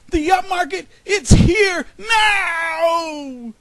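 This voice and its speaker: A man voicing an excitable, self-important groundhog forecaster in an animal fable, boastful and exclaiming. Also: medium pitch, a little slow, slightly rough. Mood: excited shouting.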